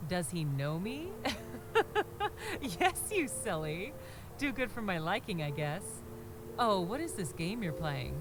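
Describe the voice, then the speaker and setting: A woman's voice: fairly low pitch, sing-song, takes it slowly, slight background noise. An actress voicing a teasing, possessive female apparition in a video game, playful and scolding. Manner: playful, scolding, insistently familiar.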